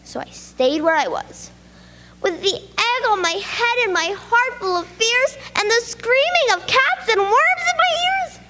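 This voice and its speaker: A woman's voice: extremely high pitch, long reverb tail, very noisy, pitched above the performer's own voice, full character voice. A woman reading the part of a flustered schoolboy who stammers out a long, breathless excuse.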